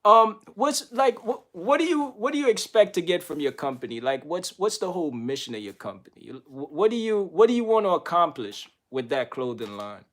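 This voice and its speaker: A man hosting a casual radio interview, asking questions in a halting way with many restarts and fillers. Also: high-pitched, big pitch swings, fairly bright tone. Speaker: radio show host.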